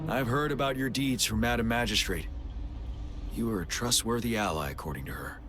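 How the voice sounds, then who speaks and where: A man playing a composed, dutiful army general in a game, speaking in a formal, measured, solemn way. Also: medium pitch, fairly bright tone, soft background music, natural voice. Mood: courteous, formal. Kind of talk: English game cutscene voice acting.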